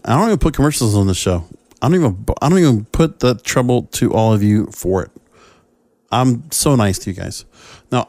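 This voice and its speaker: A man's voice: medium pitch, a little mellow, no echo, clean studio sound. A man hosting a solo podcast, talking casually about entertainment in a rambling, opinionated, conversational way. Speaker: podcast host.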